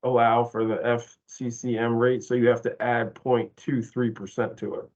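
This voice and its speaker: A man explaining billing rates informally in a work meeting, halting and often correcting himself. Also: medium pitch, level tone, darkish tone. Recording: dull, lo-fi online meeting audio.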